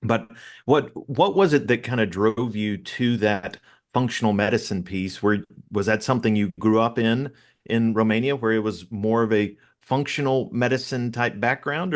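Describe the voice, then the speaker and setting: Low-voiced man hosting, affirming. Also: normal speed. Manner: affirming, conversational. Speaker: podcast host. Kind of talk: casual interview talk.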